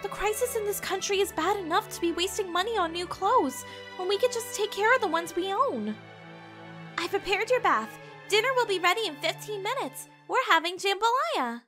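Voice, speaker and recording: A woman's voice: very high-pitched, animated, normal speed, over music. An actress playing a sweet, fretful young housekeeper in a comic dub, with a girlish, earnest and proper manner. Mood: fretful, caring.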